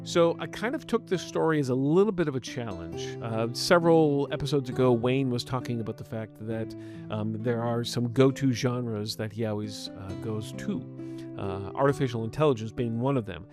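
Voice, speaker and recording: A man's voice: medium pitch, normal speed, over music. A man speaking as a story author and podcast narrator, giving an informal note and outro.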